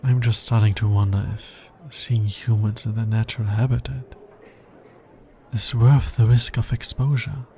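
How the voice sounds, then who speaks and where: A man playing an anxious, logical alien scientist in a soft, intimate ASMR roleplay, speaking in a hesitant, formal way. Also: on the low side, little pitch movement, a little slow, a little hiss or hum. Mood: nervous.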